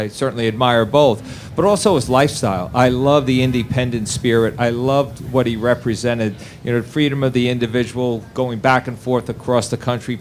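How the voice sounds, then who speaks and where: Earnest middle-aged man, faint rumble behind. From New Jersey. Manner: earnest, with repeated restarts. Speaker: author on a panel. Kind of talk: casual panel talk.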